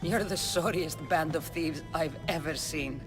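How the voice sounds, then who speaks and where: An actress playing a confident, dryly challenging young ancient Greek mercenary in a video game. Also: female voice, sits low, measured pace, over music. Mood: mocking, threatening. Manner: cool, dry, challenging.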